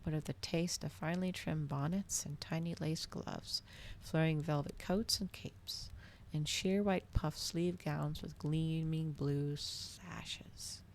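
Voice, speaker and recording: A soft-spoken woman reading a novel aloud in an ASMR style, at times close to a whisper. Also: low-pitched, vocal fry, slight background noise.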